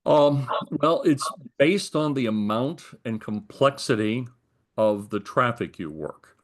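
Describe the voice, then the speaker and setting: A man's voice: mid-range voice, expressive, slow. An older American man in a conversational interview, explaining his working life in careful detail. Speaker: retired air traffic controller being interviewed.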